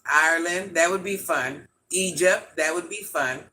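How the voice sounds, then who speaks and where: A man with an itchy, scratchy throat, hosting a livestream in a grandmother persona and chatting through viewer comments with quick laughs and asides. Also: on the high side, bright, forceful tone. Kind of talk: casual live chat answering viewer comments.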